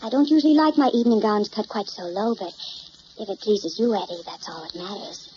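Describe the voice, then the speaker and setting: An actress playing an elegant, refined widow in an old-time radio mystery, with theatrical delivery. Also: female voice, mid-range voice, animated, fairly quick, darkish tone, close to an everyday voice. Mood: coy, affectionate. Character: elegant, soft-spoken widow, loving on the surface, secretly ruthless. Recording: dull, hissy old radio recording.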